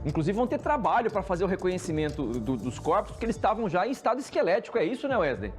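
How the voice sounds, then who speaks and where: A Brazilian man anchoring TV news, pressing a field reporter with questions and thinking out loud. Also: high voice, sing-song, rapid-fire, faint music behind. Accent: Brazilian Portuguese.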